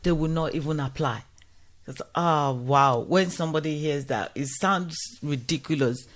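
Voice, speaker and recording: A man with a somewhat high voice hosts a talk show from a radio studio. He speaks in an encouraging way and often uses religious phrasing. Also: normal speed, a bit bright. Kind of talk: casual radio chat.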